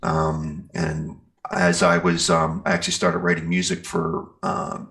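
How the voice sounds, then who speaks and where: Very deep, gravelly middle-aged American man. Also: monotone, unhurried, fairly bright tone. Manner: rambling, searching for words. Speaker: musician being interviewed. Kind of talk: casual interview chat.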